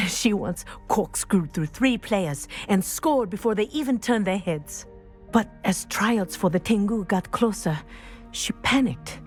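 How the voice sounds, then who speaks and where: An actress playing a dignified, principled female professor in a game, speaking in a measured, precise, formal way. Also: medium pitch, expressive, faint music behind, close to an everyday voice. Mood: solemn regret. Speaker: professor and flying teacher. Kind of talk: scripted game dialogue, storytelling.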